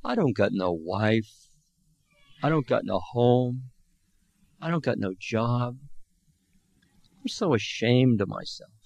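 A big drunken laborer, as a male narrator puts him on, bellowing his words loud and rough. The voice sits a little low and sounds dry, as if the mic is close. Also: animated, moderate pace, no room sound. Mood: sobbing. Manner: roaring, bellowing.

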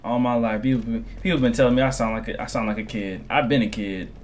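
A man in his twenties commentating on a game through a headset, excitable and slangy, repeating himself. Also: medium pitch, fast, a little hiss or hum. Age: 20s. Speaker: gaming streamer. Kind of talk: casual gameplay commentary.

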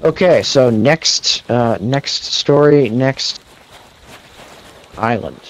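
A man's voice: medium pitch, drawn-out words, rough recording. A man chatting casually as he plays a game with his crew. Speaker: gamer playing co-op with friends. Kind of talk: casual gaming chat.

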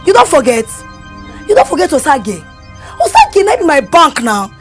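A woman's voice: high voice, normal speed, soft background music. An actress playing a boastful young woman bound for university, speaking Nigerian Pidgin with a bragging, swaggering manner. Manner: bragging, swaggering, animated storytelling.

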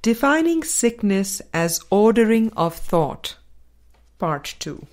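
A woman reading a spiritual book aloud as a scripted audiobook, measured and deliberate, pausing between phrases. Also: medium pitch, sing-song, a little mellow. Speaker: audiobook reader.

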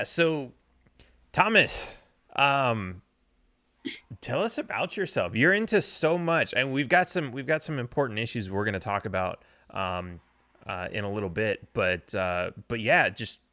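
A man's voice: mid-range voice, sharp tone, no echo, clean studio sound. A man in his thirties hosting a casual interview over a video call from home, joking and teasing his guest. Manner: joking, teasing, laughs often. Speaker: podcast host. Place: home room. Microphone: video call with desk mic.

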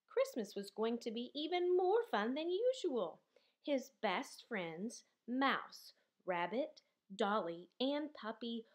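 A woman reading a children's picture book aloud in an expressive, deliberate storytelling manner, drawing out certain words. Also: fairly high pitch. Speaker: storybook reader.

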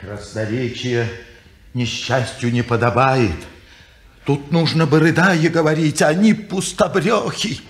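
An actor playing a gruff middle-aged watchmaker and father who scolds his household, in a 1950s radio drama. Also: medium pitch, a little slow, lightly acted. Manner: grumpy, scolding. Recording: echoey old radio studio recording.

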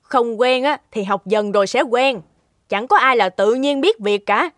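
A woman's voice: on the high side, lively intonation, unhurried, dry recording, clean studio sound. Scolding, scheming cartoon stepmother. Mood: stern scolding. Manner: sharp, scolding, domineering. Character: scheming, scolding middle-aged stepmother, greedy and domineering. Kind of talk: animated folk tale acting.

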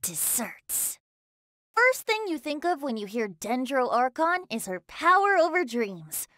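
A woman's voice: high voice, sing-song, unhurried, a bit bright, no echo, studio quality, full character voice. A woman voicing a playful, carefree young male bard god in a game, with a boyish sing-song delivery and a cheeky, teasing manner. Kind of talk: game character voice line.

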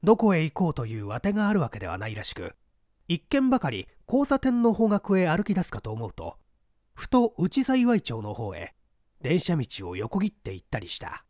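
A male audiobook narrator reading a mystery story with lively, theatrical pitch swings in a slightly high voice. The recording is close and dry, with no room sound. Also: moderate pace, no echo.

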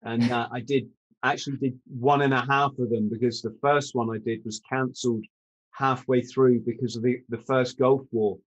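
A man's voice: medium pitch, level tone, moderate pace, muffled recording. Middle-aged man hosting a podcast call. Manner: long storytelling questions. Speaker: podcast host. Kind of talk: casual interview chat. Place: room at home. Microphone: video call.

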